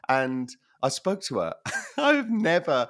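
A man co-hosting a casual podcast chat, animated and quick to laugh, with a voice that is wearing out. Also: fairly high pitch. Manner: animated, laughs often, repeats himself. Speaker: podcast co-host.

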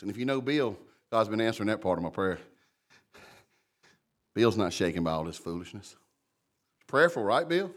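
Big-voiced pastor preaching. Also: male voice, medium pitch. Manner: lively, conversational preaching, calls out congregants by name. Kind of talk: sermon. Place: church.

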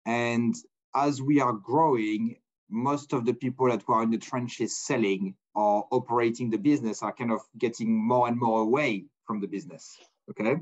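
A man hosts a business podcast, speaking at a middling pitch. He rambles, doubles back and restarts his sentences often. The audio sounds dull and lo-fi. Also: fairly even intonation, moderate pace. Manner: rambling, many restarts, non-native phrasing. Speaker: podcast host. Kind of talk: casual business interview.

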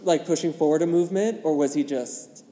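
A man's voice: a little high, brisk, mellow. A man moderating a discussion with conversational questions. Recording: echoey hall, long reverb.

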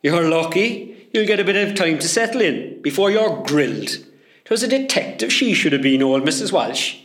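A man's voice: on the high side, very animated, moderate pace, fairly bright tone, reverberant. A man playing a cheerful, gossipy hospital porter in a comedy radio play, chatty and familiar. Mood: chatty, teasing.

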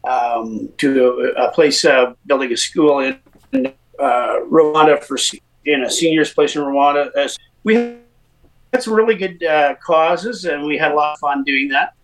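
A man's voice: on the high side, a little slow, poor audio. A middle-aged man from Winnipeg with a Canadian accent, a musician guest telling his story in a chatty way, with many fillers. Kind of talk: casual interview.

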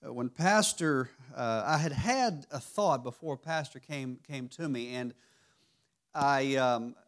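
A man with a raspy voice giving a church teaching sermon, halting and restarting often. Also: medium pitch. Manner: halting, many restarts and fillers. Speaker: lay preacher. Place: church sanctuary.

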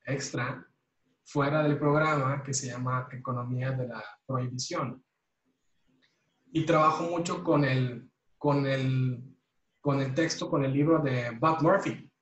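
A man's voice: mid-range voice, reverberant, rough recording. A man, an economics professor, chatting relaxedly in an interview and often repeating words as he thinks. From Mexico. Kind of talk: conversational interview.

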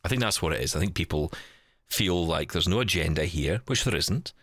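A man's voice: low voice, expressive, a little fast, fairly bright tone, no room sound, studio quality. A man hosting a podcast in casual chat, rambling and repeating words as he goes, with a teasing, jokey streak. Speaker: podcast host.

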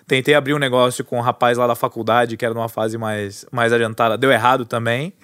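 A Brazilian man in his thirties, a guest entrepreneur telling his story in a relaxed, chatty way, with lots of fillers and restarts. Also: medium pitch, fast, dry recording, studio quality. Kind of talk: casual storytelling interview.

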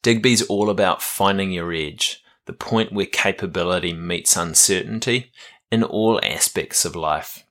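A man hosting a podcast at home, relaxed and upbeat, with plenty of fillers. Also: deep voice, unhurried. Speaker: podcast host. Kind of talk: casual podcast interview. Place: home, living room.

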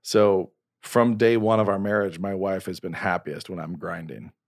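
Low-voiced rambling man in his forties. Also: fairly even intonation, moderate pace, vocal fry, no echo, clean studio sound. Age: 40s. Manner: rambling, restarts often, earnest. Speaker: podcast host and novelist. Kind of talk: casual conversation.

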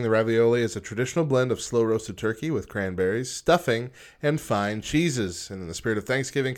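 A man's voice: mid-range voice, smooth. A man co-hosting a radio show, reading light news and chatting casually, with frequent fillers and restarts.

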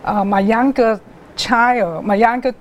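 Slow, halting woman. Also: on the high side, fairly even intonation, slight background noise. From Hong Kong. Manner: halting, with frequent restarts and pauses. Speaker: guest artist. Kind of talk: interview storytelling.

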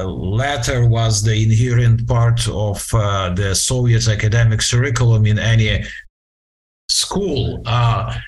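A man in his late forties with a Ukrainian accent giving reflective interview answers, halting and searching for words in formal, wordy phrases. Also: mid-range voice. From western Ukraine, Transcarpathia. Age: late 40s. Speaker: interview guest, lawyer.

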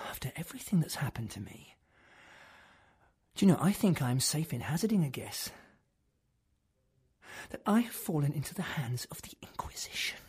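Woman playing a husky, raving deluded clerk. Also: low-pitched, very animated, normal speed, long pauses, full character voice. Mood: whispered suspicion. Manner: lurches between hushed whispers and loud outbursts. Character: deluded male clerk who believes he is king. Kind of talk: dramatic monologue.

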